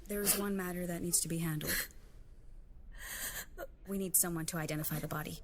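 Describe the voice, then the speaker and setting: A composed female police detective, played by an actress in a screen drama. She speaks in a lowish voice, formal and measured, over faint location noise. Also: steady tone, darkish tone, lightly acted. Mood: careful, somber. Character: composed, courteous female police detective breaking bad news. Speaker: supporting actress. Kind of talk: naturalistic screen drama acting.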